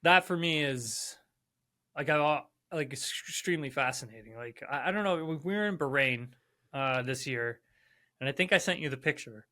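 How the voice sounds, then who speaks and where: A man in his thirties, a former racing driver, chatting casually as podcast co-host over a video call, animated and full of "like" and "right?". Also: medium pitch, moderate pace. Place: indoor room. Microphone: headphones, video call.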